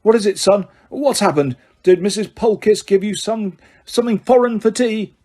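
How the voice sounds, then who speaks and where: Male reader as a bad-tempered bellowing father, husky and blustering. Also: high-pitched, moderate pace, warm tone, voice pushed up. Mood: worried. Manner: bellowing and growling.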